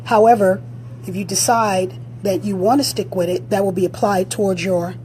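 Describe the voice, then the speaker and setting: A woman fitness coach with a raspy voice, giving blunt, direct motivational advice during a live-stream Q&A on a phone. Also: mid-range voice, fairly even intonation, moderate pace, dark tone, a little hiss or hum.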